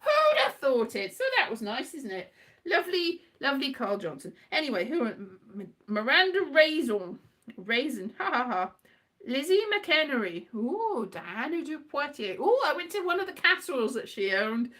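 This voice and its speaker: A woman's voice: high voice, big pitch swings, normal speed, a bit bright. A middle-aged woman from Wales rambling casually in a scatty, digressive way, thinking aloud and muttering asides to herself. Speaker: writer and performer, host.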